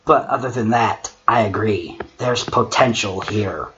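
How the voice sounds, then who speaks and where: A man voicing a sombre, deferential servant who reads and judges horror stories in a measured, solemn way. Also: on the low side, pushed and bright. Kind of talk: dramatic horror reading and measured critique. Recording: muffled lo-fi mic with clear noise.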